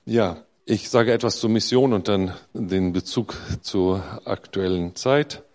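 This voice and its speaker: A middle-aged man giving a church mission presentation, talking in a halting way with many fillers and restarts. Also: lowish voice, a little mellow.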